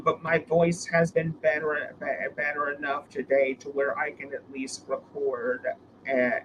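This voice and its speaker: A man hosting a casual live stream, his voice hoarse from being sick, rambling with restarts and fillers. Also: medium pitch, noisy background. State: hoarse from illness.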